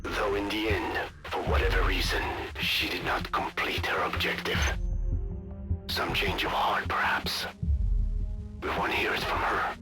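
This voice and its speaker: A grave older man of science speaks in a low, whispery rasp, as in a game cutscene. His delivery is clinical and measured, with clear music underneath. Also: level tone. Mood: clinical, somber. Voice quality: hushed, breathy whispery rasp. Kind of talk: video game cutscene acting. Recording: music behind the voice.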